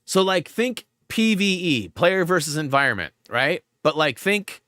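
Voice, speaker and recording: A man's voice: a little high, bright, forceful tone, smooth, no room sound, studio quality. A man hosting a gaming livestream in a rambling, casual way, full of fillers, and a bit stuffed up. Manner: rambling, filler-heavy, lots of "like". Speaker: livestream host.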